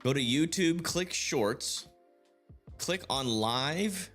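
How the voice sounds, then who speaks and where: Lively-voiced man hosting a weather livestream. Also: fairly high pitch, very slow, faint music behind. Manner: distracted, thinking aloud with many fillers. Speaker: livestream host. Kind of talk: casual livestream commentary.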